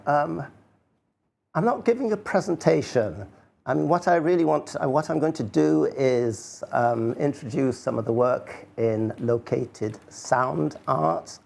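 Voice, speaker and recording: Older man, creaky voice, medium pitch, unscripted public talk, halting, rambling, self-deprecating. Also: darkish tone. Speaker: artist and poet giving a talk.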